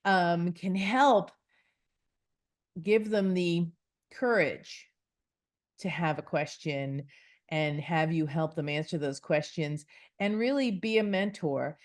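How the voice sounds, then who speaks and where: A woman hosting a conversational interview, talking in a chatty, rambling way full of homely comparisons. Her voice sits a little low for a woman. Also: takes it slowly, long pauses.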